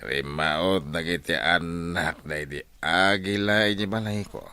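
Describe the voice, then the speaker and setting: An actor with a Filipino accent playing a commanding, menacing, proud general in a radio drama. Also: male voice, deep voice, a little slow, sharp tone, slight background noise, full character voice. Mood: grim, angry.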